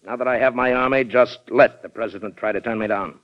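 A muffled, lo-fi vintage radio recording carries a proud American colonel played by a man. He is forceful and ambitious, speaking at a middling pitch in the firm manner of old broadcast drama. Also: fairly even intonation, quick pace, heavy background noise, close to an everyday voice. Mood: proud, defiant.

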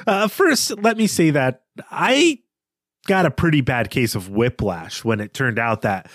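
A man's voice: medium pitch, animated, a little slow, no echo, studio quality. A man co-hosting a podcast, talking through a book in a conversational style. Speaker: podcast co-host. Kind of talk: conversational literary discussion.

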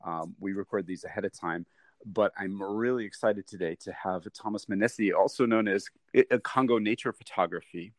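A male podcast host interviews a guest. His voice is fairly low and the sound is dry. He is eager and keeps stumbling over repeated words and fillers. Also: fairly quick, no echo. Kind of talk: casual interview.

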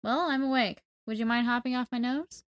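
A woman's voice: fairly high pitch, a little fast, lightly acted. A woman reading a story and voicing an innocent, curious lost boy full of questions. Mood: puzzled.

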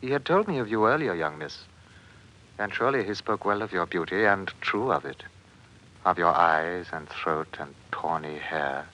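A sly, covetous cousin from an old radio costume drama. His voice is low and sharp-edged. He speaks in ornate, old-fashioned phrases with a weary, calculating streak. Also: expressive, normal speed, rough recording. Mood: smooth, sly. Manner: theatrical, archaic period speech. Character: scheming, weary male cousin plotting for an inheritance. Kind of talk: 1950s radio drama acting.

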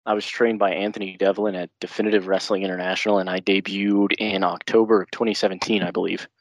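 A man's voice: sits low, level tone, fast, poor audio. A man in his twenties, a guest on a podcast, riffing playfully. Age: 20s. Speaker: guest wrestler. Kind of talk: casual podcast interview.

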